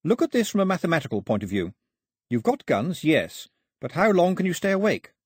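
Audiobook heroine, played by a man: quick-witted young woman, high, breathy, pleading yet defiant, very lively intonation. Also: no echo, clean studio sound. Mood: bold bargaining. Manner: urgent, articulate, pleading.

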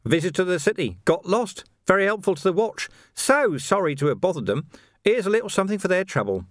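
A man's voice: sits high, big pitch swings, fairly quick, dry recording, clean studio sound, voice pushed up. A man voicing a sly, cheerful male criminal who mocks and wheedles, laughing often. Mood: mocking.

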